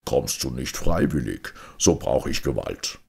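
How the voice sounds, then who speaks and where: A man's voice: big pitch swings, measured pace, creaky, a little mellow, husky, full character voice. A man voicing a scheming, imperious king in a storybook fairy tale. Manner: low, commanding.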